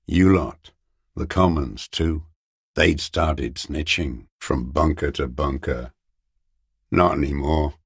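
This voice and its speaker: A man playing a bitter old communist deserter in a video game, muttering in contemptuous, terse fragments with a gravelly, raspy voice. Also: extremely low pitch, dry recording, studio quality, exaggerated voice. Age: older. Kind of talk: video game character voice acting.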